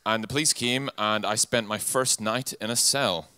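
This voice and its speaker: Bright, crisp Irish man telling a story. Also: lowish voice, fairly even intonation, normal speed, no echo. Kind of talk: personal anecdote.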